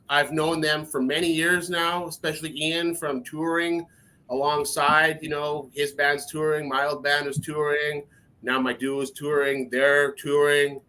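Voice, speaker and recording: A man's voice: on the high side, a bit bright. A man hosting a music podcast in a casual, friendly way, rambling a bit with many fillers. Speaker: podcast host. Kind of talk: casual interview chat.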